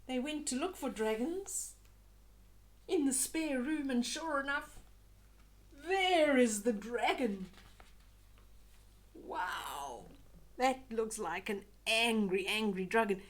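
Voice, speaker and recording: A woman's voice: on the high side, animated, slow pace, pauses often. An older woman reading a children's story aloud, expressively, with chatty asides to young listeners.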